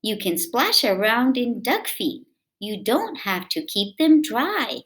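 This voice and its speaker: A woman reading a picture book aloud to young children in an expressive way, with drawn-out emphasis and chatty asides. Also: a little high.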